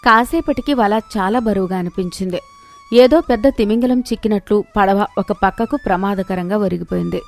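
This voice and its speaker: A woman's voice: mid-range voice, moderate pace, faint music behind. A woman narrating a scripted moral story in a dramatic storytelling style.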